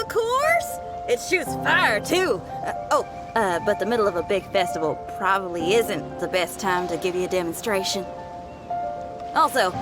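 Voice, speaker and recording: An actress playing a perky, upbeat young female rock musician in a video game, with a bubbly, folksy manner. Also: on the high side. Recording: loud game music behind the voice.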